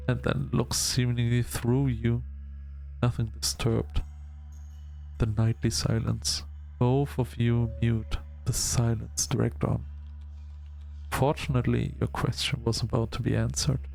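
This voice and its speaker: A man reading a visual novel aloud as narration, with casual asides and some halting restarts. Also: medium pitch, flat delivery, creaky, darkish tone, faint music behind, rough recording.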